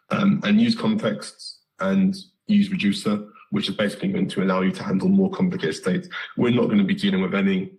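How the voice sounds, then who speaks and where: A British man with a very low voice, hoarse and slightly nasal as he gets over the flu. He walks through a coding lesson in a relaxed way, filling pauses with ums and correcting himself as he goes. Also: low-quality recording. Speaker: coding instructor. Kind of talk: live coding tutorial.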